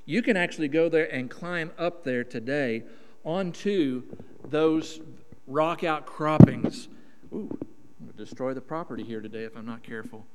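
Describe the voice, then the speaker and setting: A man's voice: fairly high pitch, background noise. A man in his fifties from Oklahoma with a Southern drawl, preaching a folksy, emphatic sermon as a guest preacher in a church. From Oklahoma, USA. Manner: folksy, emphatic, drawn-out vowels. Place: church sanctuary. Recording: reverberant church hall.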